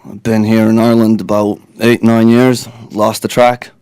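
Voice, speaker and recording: A man's voice: on the low side, level tone, normal speed, a little mellow, clean tone, no echo. A young man from Latvia, a guest rapper chatting in a radio studio, rambling and laid-back with lots of fillers. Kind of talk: casual interview chat.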